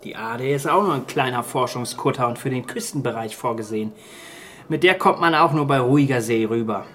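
A man's voice: medium pitch, fairly quick, a bit bright, natural voice. A man playing a pragmatic survivor in a radio drama, with a dry, matter-of-fact delivery. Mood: sober. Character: pragmatic, well-informed island survivor. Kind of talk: naturalistic German radio drama acting.

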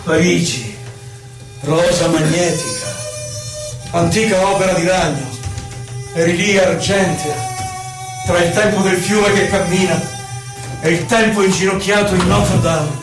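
An actor playing a nostalgic, lovelorn exiled poet in a solemn, theatrical poetry recital over violin. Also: male voice, sits high, fairly even intonation, unhurried, pauses often. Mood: solemn, melancholic. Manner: dramatic, lyrical, solemn delivery. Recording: roomy, distant voice under violin music. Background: violin music.

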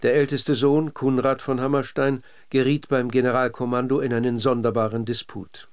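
A man narrating scripted documentary radio in a measured, factual manner. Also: medium pitch, flat delivery, smooth.

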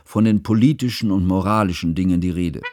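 A man acting a learned chronicler in a radio drama. His voice is fairly low and recorded very dry. He narrates in measured, formal, literary sentences. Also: darkish tone, dry recording, faint music behind, close to an everyday voice. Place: dry studio.

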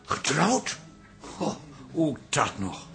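Exasperated, high-pitched, well-meaning Dutchman. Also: very animated, very slow, a bit bright, exaggerated voice. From Twente, Netherlands. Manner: hesitant, repeats words, teasing. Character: Mees, well-meaning, exasperated son-in-law, simple provincial man. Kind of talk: Dutch radio drama acting.

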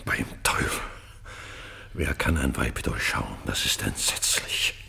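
A man's voice: a bass voice, lively intonation, slow pace, creaky, full character voice. An actor playing a proud, remorseful old British king, declaiming solemnly in classical verse for a radio play. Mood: shaken, grave. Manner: declamatory, solemn, breaking into hushed tones.

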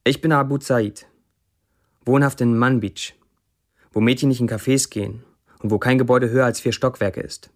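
An actor playing a sardonic Syrian blacksmith who writes Facebook posts, delivered as a literary monologue in a radio play. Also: male voice, mid-range voice, steady tone, moderate pace, long pauses, natural voice. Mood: wry.

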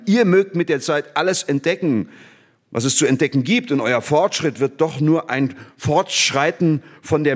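In a long hall reverb, a male actor plays a disgraced old scientist at a middle pitch. He lectures in grave, declamatory phrases, bitter and self-accusing, in the manner of a 1960s radio play. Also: natural voice. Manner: declamatory, deliberate rhetorical phrasing.

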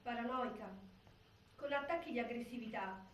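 A woman's voice: a little high, normal speed. A woman reading scripted narration for documentary theatre in an echoey hall. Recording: echoey hall, distant, faint noise.

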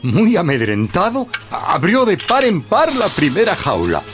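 A man's voice: high-pitched, wildly swooping pitch, measured pace, music in the background. A man narrating a radio story in a theatrical, scripted style with suspenseful phrasing.

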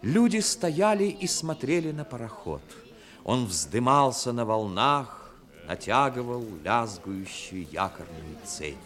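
An actor narrating a seaside New Year tale in a measured, literary manner for a 1960s radio play. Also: male voice, mid-range voice, big pitch swings, a bit bright. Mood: measured, expressive.

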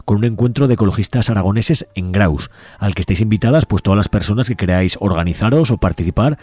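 A man with a deep, low voice reads out upcoming events on a community radio show. His delivery is easygoing and conversational, and he often ends his points with a questioning "right?". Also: fast talker, dry recording. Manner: relaxed, conversational, tags lines with a questioning "right?". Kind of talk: casual radio announcements.

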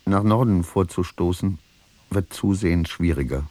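An actor playing a sober chronicler in a radio play, reading notebook entries in a measured, husky voice. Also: male voice, low voice, dark tone, slight background noise, natural voice. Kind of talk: scripted literary radio-play narration.

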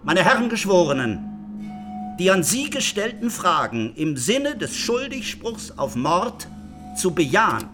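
An actor playing a stern state prosecutor in a radio play, making a formal, emphatic closing speech with deliberate pauses. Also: male voice, sits high, big pitch swings, over music. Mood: insistent, emphatic. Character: stern male state prosecutor pleading for a murder conviction.

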